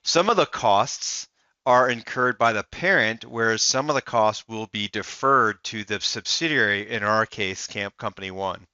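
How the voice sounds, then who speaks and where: A man narrating a software demo as a voice-over, explaining it step by step in the first person. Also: on the low side, moderate pace, smooth, dry recording, muffled recording. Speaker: software demonstrator.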